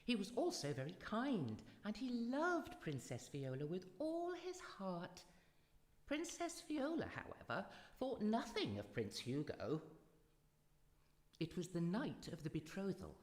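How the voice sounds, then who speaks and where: A woman's voice: mid-range voice, wildly swooping pitch, unhurried, darkish tone. A woman narrating a scripted fairy-tale radio drama in a dramatic storytelling manner. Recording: echoey hall, music behind.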